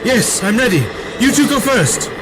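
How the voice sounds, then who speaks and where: An actor playing a dry, brisk, eccentric time-traveller in an audio drama, explaining and instructing. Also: male voice, very high voice, sing-song, heavy background noise. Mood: urgent.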